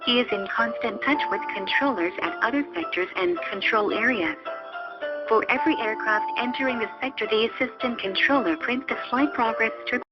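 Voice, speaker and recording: A woman reading a scripted explanatory narration in a formal, measured manner. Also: on the high side. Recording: muffled lo-fi audio under loud music. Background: music.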